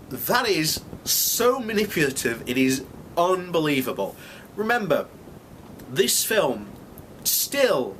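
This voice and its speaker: A man's voice: a little high, fairly bright tone, slight background noise, low-quality recording. A man giving unscripted, opinionated commentary as a video blogger, speaking emphatically and indignantly and repeating himself to drive points home.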